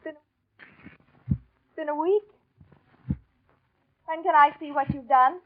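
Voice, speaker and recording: A woman's voice: high voice, fairly even intonation, slow pace. An actress playing a seductive, insistent society woman in 1940s radio drama style, coaxing and intimate. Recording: dull, noisy 1940s radio recording.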